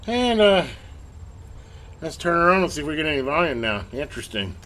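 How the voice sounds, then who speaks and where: A man's voice: a little high, very animated, fairly quick, sharp tone. A man giving a casual hands-on repair demonstration, informal and folksy, thinking aloud as he works. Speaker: repair hobbyist presenting a video. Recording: clearly noisy amateur workbench video.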